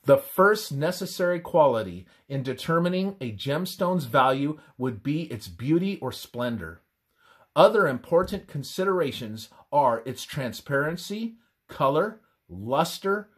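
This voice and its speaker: Slow, husky man reading devotional narration. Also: a little high, big pitch swings. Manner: measured, deliberate, with pauses between phrases. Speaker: reader of a religious presentation. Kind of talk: scripted devotional narration, read aloud.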